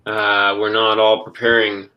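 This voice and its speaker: A man's voice: on the low side, completely flat delivery, a little slow, dull audio. A man hosting a live panel over a video call, talking casually with many fillers and restarts. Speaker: host.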